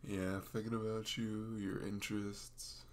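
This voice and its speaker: A man playing a sweet, goofy, self-conscious young boyfriend in an intimate ASMR roleplay, speaking in a halting, casual, reassuring way. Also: on the low side. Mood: tender, goofy.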